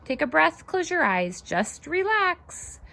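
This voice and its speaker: A woman reading a children's storybook aloud in an expressive, sing-song way, with casual asides to her young listeners. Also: high-pitched, takes it slowly, creaky. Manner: animated, sing-song rhyming delivery. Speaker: children's read-aloud host and fitness instructor.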